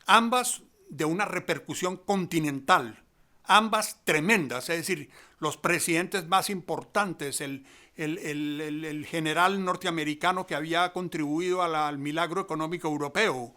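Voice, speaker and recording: A man giving spoken analysis as a theatre commentator, deliberate and halting, repeating words as he goes. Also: a little high, pushed and bright.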